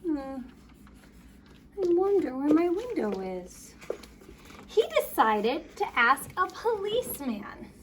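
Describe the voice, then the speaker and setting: A woman reading a picture book aloud to children in an expressive, playful storytelling way, with chatty asides. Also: high voice, takes it slowly, long pauses, a little hiss or hum. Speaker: story time reader.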